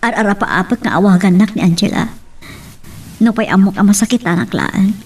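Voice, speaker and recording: A woman playing a troubled, anxious and sorrowful adult daughter, with a breathy voice, in an Ilocano radio drama. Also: medium pitch, fairly quick, distant mic.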